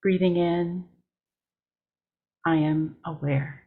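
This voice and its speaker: A woman worship leader guiding a meditation in a calm, measured way, with short deliberate phrases. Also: fairly low pitch, husky, narrow, tinny audio. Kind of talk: guided meditation.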